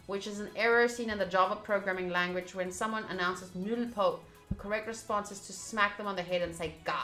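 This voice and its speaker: Bright-voiced woman reading a game aloud. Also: medium pitch, roomy sound. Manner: reacts aloud, shifting between reading and chatty asides. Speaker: streamer playing and reading aloud a visual novel. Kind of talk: playthrough commentary, reading on-screen text aloud.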